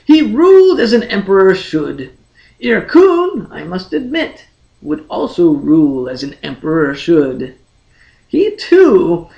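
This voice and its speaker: A man's voice: sits high, creaky, highs cut off, exaggerated voice. A man voicing a brooding, melancholy young emperor in a fantasy audiobook, grave, formal and fatalistic. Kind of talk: dramatic fantasy audiobook character reading.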